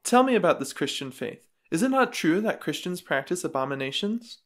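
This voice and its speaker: A man's voice: sits high, sing-song, fairly quick, fairly bright tone. A man playing a skeptical, wary Roman brother in an audio drama, with a questioning manner. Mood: puzzled.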